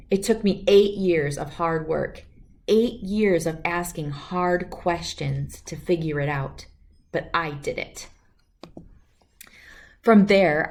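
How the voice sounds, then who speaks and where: A woman reading a children's picture book aloud as an expressive storyteller. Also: fairly low pitch.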